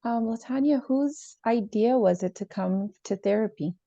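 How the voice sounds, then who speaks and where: A woman plays a calm female therapist with a creaky, mellow voice. She checks in gently and hesitantly, as in improvised role-play. The video-call sound is roomy. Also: on the high side, moderate pace. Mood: attentive, supportive. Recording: slightly dull, roomy video-call audio.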